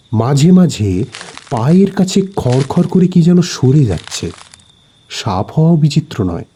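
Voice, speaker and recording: A man narrating a suspenseful horror story in a dramatic, deliberate manner. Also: mid-range voice, dark tone, breathy edge.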